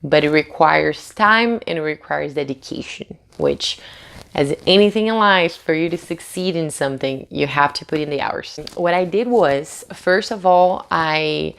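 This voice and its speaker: Woman late 20s, low gravelly voice, chatty english teacher vibe. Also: a little slow, fairly bright tone. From Brazil. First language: Portuguese. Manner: chatty, with many fillers and restarts. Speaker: English teacher, channel host. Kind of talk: casual talk to camera.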